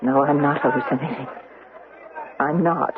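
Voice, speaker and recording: A woman's voice: low-pitched, steady tone, moderate pace, crowd noise, lightly acted. An actress playing a bewildered, insistent woman tourist in an old-style radio drama, with a dramatic, emphatic delivery. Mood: dazed, disbelieving. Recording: narrow-band, noisy radio broadcast recording.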